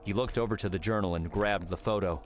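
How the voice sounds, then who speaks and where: A man's voice: fairly low pitch, fast talker. A man reading an audiobook in a dramatic storytelling style. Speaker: audiobook narrator. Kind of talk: dramatic scripted narration. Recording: music under the voice. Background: music.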